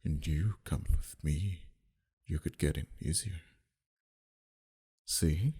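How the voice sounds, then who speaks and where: Man acting a very deep, husky mafia boss. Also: mellow, heavily acted. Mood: flirtatious, coaxing. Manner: dominant, teasing, unhurried, with breathy whispered phrases. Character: smug, flirtatious, menacing male mafia boss. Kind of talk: intimate ASMR roleplay acting.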